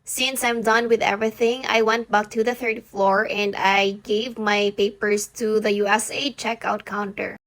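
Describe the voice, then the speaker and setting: A woman's voice: medium pitch, little pitch movement, normal speed, few pauses, fairly bright tone. A woman nurse with a Filipino accent, narrating a chatty, upbeat vlog with practical tips and switching languages mid-sentence. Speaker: nurse and vlogger. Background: background music.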